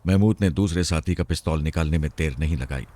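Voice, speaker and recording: A man's voice: very deep voice, fast, warm tone. A man narrating a scripted suspense story as a storyteller.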